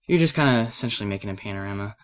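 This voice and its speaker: A man with a fairly low voice, made a little raspy by a cold, presents an unscripted software lesson. He speaks casually and hesitantly, working things out aloud as he goes. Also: expressive, very fast. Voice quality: slightly raspy. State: has a cold. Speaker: tutorial presenter.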